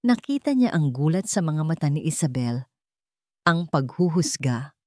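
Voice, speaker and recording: A woman narrates a scripted romance drama as its storyteller. Her pitch sits on the low side for a woman. The delivery is dramatic, and the recording is dry with no room sound. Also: very animated, normal speed, a little mellow, no echo.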